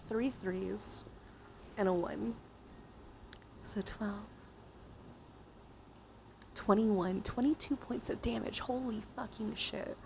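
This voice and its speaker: A woman's voice: medium pitch, gravelly, darkish tone. Playful woman livestreamer over background noise. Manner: playful, giggly, swears freely. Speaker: livestream host. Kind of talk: casual livestream chat with viewers during a dice game.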